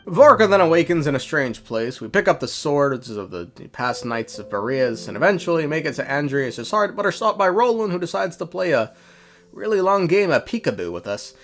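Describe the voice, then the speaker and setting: A man's voice: on the high side, very animated, moderate pace. A man narrating a scripted story recap in an irreverent, slangy, tongue-in-cheek way. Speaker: video creator recapping game lore.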